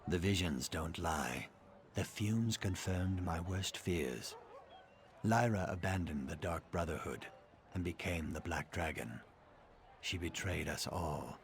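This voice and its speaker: Low-voiced grim fantasy assassin. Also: male voice, animated, slow pace, slight background noise, full character voice. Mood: bitter, vengeful. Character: grim vengeful male assassin of a dark brotherhood. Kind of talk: scripted fantasy game dialogue.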